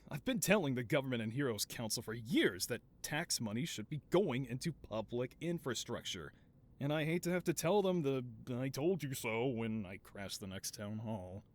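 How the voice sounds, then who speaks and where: A man's voice: mid-range voice, lively intonation, moderate pace, lightly acted. A man playing a flamboyant, doting mad scientist supervillain boss in a scripted audio roleplay, with a theatrical, wheedling, self-justifying manner. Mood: sheepish.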